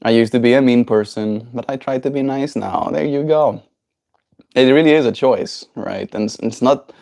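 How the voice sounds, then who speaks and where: Reflective South African man, somewhat low voice. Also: creaky, a little mellow. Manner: reflective, meandering, often adds "right?". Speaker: livestream host. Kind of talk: casual livestream chat.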